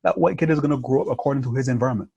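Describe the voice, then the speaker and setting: A man's voice: mid-range voice, very fast, creaky, darkish tone, slightly rough, no room sound, dull audio. A man who grew up in Barbados, hosting a podcast in a casual conversation, telling stories animatedly and often checking in with "yeah?" and "right?". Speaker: podcast host.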